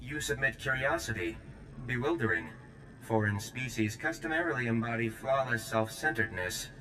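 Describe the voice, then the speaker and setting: Guy voicing an earnest alien worker from a game, lowish echoey voice, stiff formal wording, loud rumble behind. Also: fairly even intonation, moderate pace, natural voice. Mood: aggrieved, stilted. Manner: stilted, formal, oddly worded. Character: earnest, aggrieved alien maintenance worker with stilted speech. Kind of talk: video game character acting.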